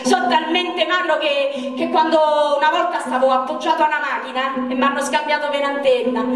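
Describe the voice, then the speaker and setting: A caring, teasing companion. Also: female voice, very high-pitched, fairly bright tone, far from the mic. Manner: coaxing, then rattling off jokes. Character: caring companion who teases with jokes. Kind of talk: stage drama for radio. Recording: very echoey stage heard from afar.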